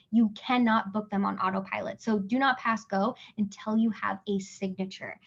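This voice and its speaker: A woman from Canada leads a live online class, talking in a chatty, encouraging way with frequent check-ins. Her voice sits at a middle pitch and is a little hoarse. The call audio is dull and lo-fi. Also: darkish tone. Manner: chatty and encouraging, many fillers and "right?" checks. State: losing her voice. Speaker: webinar host and business coach. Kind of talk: live webinar teaching.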